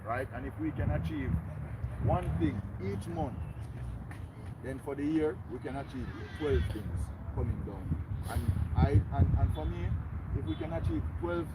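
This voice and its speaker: A man's voice: fairly high pitch, a little slow. A young man with a Jamaican accent and a strong voice gives an earnest, rallying speech to an outdoor community meeting, often tagging his points with "right?". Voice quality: strong, loud voice. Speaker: community organizer. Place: outdoors by a road. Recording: loud traffic noise behind. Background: passing cars.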